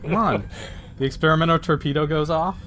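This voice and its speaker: A man's voice: a little high, fairly quick, a bit bright. A man playing a tabletop game and talking casually in a blunt, matter-of-fact way. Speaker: player as tactical officer. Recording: faint room noise.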